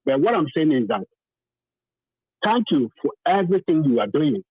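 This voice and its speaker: A man's voice: on the high side, big pitch swings, normal speed, breathy edge. A man from southern Nigeria with a Nigerian accent, calling in to a talk programme and speaking with passion and emphasis, repeating phrases to drive his points home. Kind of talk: impassioned phone-in testimony. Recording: thin phone-line sound, roomy.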